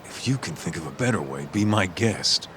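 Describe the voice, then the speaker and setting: A man's voice: low voice, lively intonation, normal speed, background noise. An actor playing a brash, laid-back tough-guy detective in a video game, talking bluntly and teasingly. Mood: cocky, casual. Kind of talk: video game cutscene dialogue.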